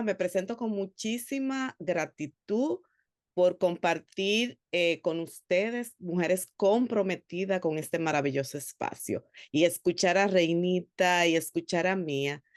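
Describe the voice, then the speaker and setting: A woman from the Republic, giving an earnest, animated personal testimony as a theologian on a panel, with frequent hesitations. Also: fairly low pitch, no room sound, clean studio sound. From Dominican Republic.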